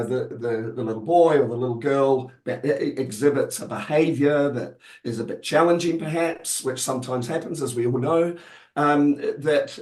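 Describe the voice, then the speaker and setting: Croaky-voiced man from New Zealand. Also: medium pitch, a little mellow, roomy sound. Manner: hesitant, many fillers and restarts. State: croaky, recovering from illness. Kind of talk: webinar interview answers. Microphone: video call.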